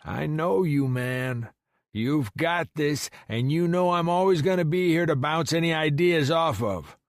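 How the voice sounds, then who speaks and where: A man's voice: a little high, lively intonation, a little slow, no echo, clean studio sound, voice pushed up. A man voicing a supportive, easygoing male friend who coaxes and encourages, in audiobook dialogue. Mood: encouraging, insistent. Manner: coaxing, encouraging, questioning.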